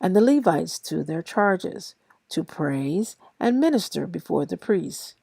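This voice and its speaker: A woman reads scripture aloud in a lowish voice with a gravelly creak. She is a little tired and moves very slowly and deliberately through short phrases. The sound is dry and close, with no room around it. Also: lively intonation, warm tone, dry recording. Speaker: Bible reader and host of a daily reading series. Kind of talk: scripture read aloud with brief casual introduction.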